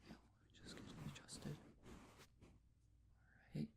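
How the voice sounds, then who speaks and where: A man speaking in a breathy whisper, gently and reassuringly giving step-by-step instructions in an ASMR personal-attention roleplay. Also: takes it slowly. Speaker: ASMR roleplayer taking face measurements.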